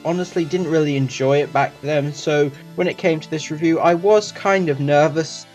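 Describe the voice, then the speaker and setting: A man's voice: a little high, normal speed, darkish tone, faint music behind. A man hosting a review channel, reading a scripted review.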